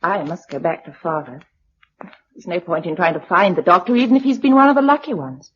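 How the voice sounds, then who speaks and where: A woman's voice: on the low side, expressive, fairly quick, slight background noise, close to an everyday voice. Actress as well-bred young Englishwoman, radio play, shaken and vulnerable, hesitant, swooping intonation, dull lo-fi sound. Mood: subdued, grateful. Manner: genteel, hesitant, emotional. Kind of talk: radio drama acting. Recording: dull lo-fi radio drama recording.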